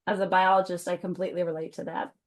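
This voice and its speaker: A woman's voice: on the low side, flat delivery, fast talker. A woman moderating a video-call webinar, chatty and upbeat, with frequent ums and restarts. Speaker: webinar moderator and food science advisor. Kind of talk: casual webinar hosting.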